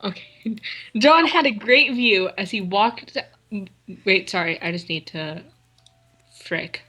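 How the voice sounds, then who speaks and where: A woman's voice: medium pitch, slow pace, sharp tone. A young woman chatting and laughing with friends while reading a fan story aloud. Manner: laughing, bantering, swearing. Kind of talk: casual chat during a dramatic reading.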